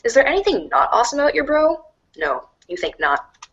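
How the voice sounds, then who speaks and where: Animated woman narrator with swooping pitch. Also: brisk, dull audio. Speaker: narrator in a webcomic reader's theater. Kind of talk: animated storybook narration read aloud.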